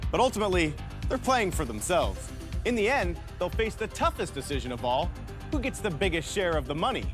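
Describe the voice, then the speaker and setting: A man hosting a game show, reading rules and questions in an energetic announcer style. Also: sits high, fairly quick. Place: TV studio. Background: background music.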